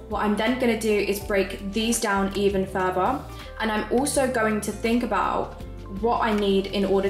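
A woman's voice: mid-range voice, echoey room. A young woman vlogger who talks to camera from her home desk and explains how she plans coding projects step by step, in a practical, casual way. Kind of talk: casual tutorial talk to camera.

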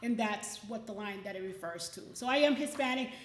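A woman's voice: a little high, a little fast, a little hiss or hum. A middle-aged woman hosting and reading at a poetry event, speaking emphatically into a stand microphone indoors. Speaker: poetry event host and poet. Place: indoor library event room. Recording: roomy, echoey event space.

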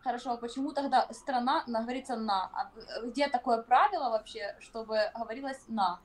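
High-voiced, combative young woman. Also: fairly quick. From Ukraine. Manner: indignant, swearing, combative. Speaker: video chat stranger. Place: room, lying on a couch. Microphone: webcam.

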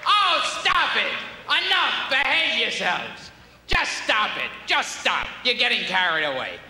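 A man shouting and scolding in a played clip. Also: very high voice, big pitch swings, measured pace. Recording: roomy hall clip with music and crowd.

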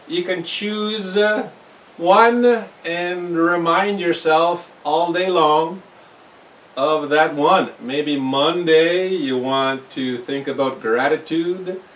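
A middle-aged man with a fairly high voice talks very slowly and gently to a camera across a small brick kitchen. The sound is roomy and distant, with a faint hiss behind it. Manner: slow, gentle, with fillers and restarts. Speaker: home cooking channel host. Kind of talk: casual talk to camera. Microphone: camera mic from across the room.

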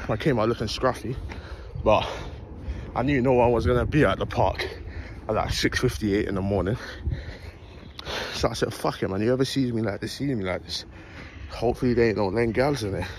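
A young man with a London accent chatting in slang to his phone camera while out jogging, with lively asides. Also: on the low side, a little fast, pauses often, slight background noise. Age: young adult. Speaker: vlogger. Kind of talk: casual vlog talk to camera. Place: outdoors in a park and streets at dawn, also a bedroom. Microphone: phone held at arm's length.

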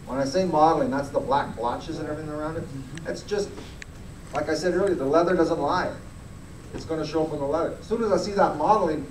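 A man's voice: fairly high pitch, a little mellow, slight background noise. Folksy man grading furs in an echoey hall. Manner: plain-spoken, folksy, asks audience to look. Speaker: fur grader. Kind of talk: live demonstration talk. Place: large indoor meeting hall. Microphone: far camera. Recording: echoey hall, camera from far off.

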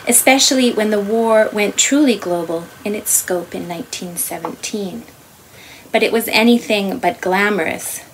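A middle-aged woman with a mid-pitched voice reads a true account aloud. Her delivery is slow and deliberate, set out phrase by phrase. She is recorded in a small room with faint noise behind her. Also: rough recording. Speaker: author reading aloud. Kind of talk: measured literary non-fiction reading. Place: small room with wooden beamed ceiling.

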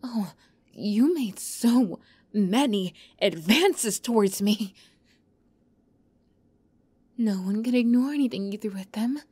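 A woman playing an obsessive young yandere captor in an ASMR roleplay, close to the mic, moving between soft whispers and giddy, high outbursts. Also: lively intonation, slow pace, long pauses, breathy edge. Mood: teasing, intimate.

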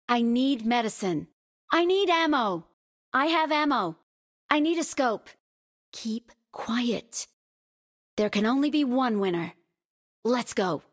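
A high-voiced young-sounding female squadmate from a shooter game. She delivers tactical orders as short, clipped phrases, unhurried and spaced apart. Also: expressive, exaggerated voice. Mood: calm, assured. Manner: clipped, isolated command phrases. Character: urgent female battle-royale squadmate calling tactical orders. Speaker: game voice-pack actor. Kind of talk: short scripted game callouts.

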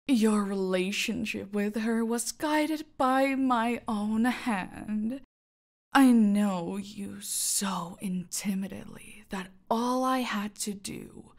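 Woman playing a slow, creaky-voiced female crime boss. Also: mid-range voice. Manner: deliberate, haughty, breathy drops into near-whispers. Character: female cartel boss, menacing, smug, calculating seductive villain. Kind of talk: dramatic audio roleplay monologue addressed to a captive.

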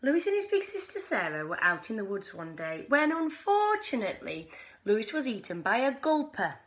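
Female storyteller, thirties, fairly high voice, highly animated, reads picture books to children with drawn-out suspense. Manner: animated, dramatic pauses and drawn-out key words. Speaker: storyteller reading a bedtime picture book for schoolchildren. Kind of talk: expressive children's story reading. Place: small living room at home, indoors.

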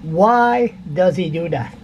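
A man's voice: high voice, animated, takes it slowly, highs cut off, a little hiss or hum. Rambling older man, casual caller. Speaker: radio phone-in caller. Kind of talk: casual chat. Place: indoor room at home.

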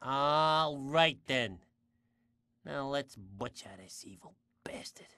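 An actor playing a feisty, foul-mouthed undead little sidekick in a video game, bratty and excitable. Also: male voice, medium pitch, slow, no echo, studio quality, full character voice. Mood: eager, conspiratorial. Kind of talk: video game cutscene acting.

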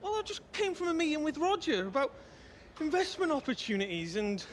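A man's voice: extremely high pitch, big pitch swings, a little fast, slight background noise. A young man acting a cheeky, hapless professional footballer in a video game, rambling and matey. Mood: cheeky, evasive. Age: young adult. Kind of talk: naturalistic video game cutscene dialogue.

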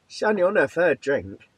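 A man's voice: on the high side, no room sound. A middle-aged British man hosting a vlog, chatting casually to camera in a jokey, teasing way. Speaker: vlog host. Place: camper van, farm yard and outdoor spots. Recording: clear ambient noise on camera audio.

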